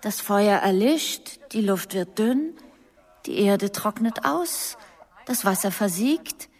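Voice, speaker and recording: A woman's voice: mid-range voice, animated, takes it slowly. An actress playing a frank, defiant woman in her twenties in a radio drama, blunt, teasing and intense. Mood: intense, impassioned. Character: headstrong young Japanese woman of 23, frank and defiant. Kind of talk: literary radio drama dialogue. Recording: studio radio drama under music. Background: prominent music.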